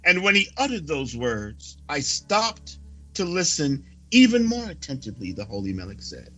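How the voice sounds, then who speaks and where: Raspy man preaching with wide, swooping pitch. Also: sing-song, sharp tone, faint music behind, rough recording. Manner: solemn, declamatory, with dramatic pauses. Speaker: preacher reading a prophecy report. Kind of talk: sermon-like reading of prophecy.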